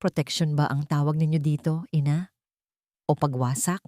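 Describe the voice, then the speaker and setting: A woman voicing a wealthy young father who speaks with forceful, barely restrained anger. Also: low voice, fairly even intonation, moderate pace, dry recording, studio quality, natural voice. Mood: angry. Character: wealthy young father, furious billionaire man.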